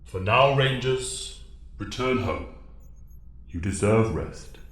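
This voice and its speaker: Man playing a deep, echoing wise alien mentor. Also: expressive, a bit bright. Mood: solemn. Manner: solemn, formal, measured. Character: wise, grave alien mentor commanding young heroes. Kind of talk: audio drama acting. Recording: echoing, reverberant voice.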